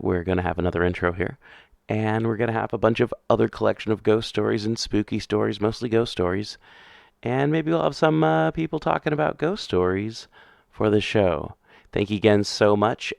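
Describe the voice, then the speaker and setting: Low gravelly male podcast host. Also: no echo, clean studio sound. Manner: offhand, rambling. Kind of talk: casual podcast intro and sponsor plug.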